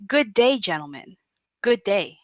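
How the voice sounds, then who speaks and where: A woman's voice: medium pitch, very animated, unhurried, no room sound, studio quality. A woman playing a stern, shrewd fairy godmother with a cool, theatrical manner in a table read. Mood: menacing. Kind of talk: comedic screenplay table read.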